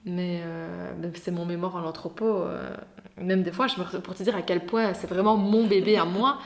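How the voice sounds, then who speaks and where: A woman's voice: on the low side, steady tone, normal speed. A young adult woman, an interview guest telling her story with lots of fillers and restarts. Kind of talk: casual interview conversation.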